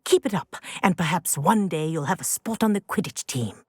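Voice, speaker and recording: An actress playing a middle-aged flying professor in a video game, measured, instructive and encouraging. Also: female voice, fairly low pitch, lively intonation, breathy edge. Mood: warm encouragement. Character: encouraging, brisk female flying teacher at wizard school.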